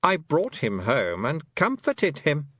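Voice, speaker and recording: A high, lilting fairy queen, cold and condescending, as a male reader puts her on. She stretches out her words slowly with wide, swooping pitch, silky and superior. The voice has a slightly husky edge. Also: huge pitch swings, a bit bright, no echo, clean studio sound, exaggerated voice.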